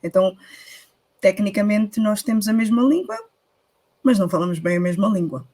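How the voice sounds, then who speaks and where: A woman's voice: mid-range voice, animated, dark tone. Portuguese woman co-hosting radio. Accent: European Portuguese. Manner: careful, measured, with hesitant fillers. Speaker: radio co-host. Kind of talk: casual radio chat.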